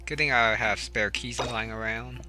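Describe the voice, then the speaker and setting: A man's voice: medium pitch, steady tone, moderate pace. Offhand man commenting over game music. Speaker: gamer, video host. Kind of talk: casual gameplay commentary.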